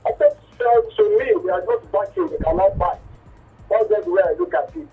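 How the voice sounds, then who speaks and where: A man phones into a radio program, emphatic and pleading, tripping over repeated words. His voice is very high and swings widely in pitch. He sounds distant on the line, with faint music beneath. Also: very animated, brisk, breathy edge, phone-quality sound. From Nigeria. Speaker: caller.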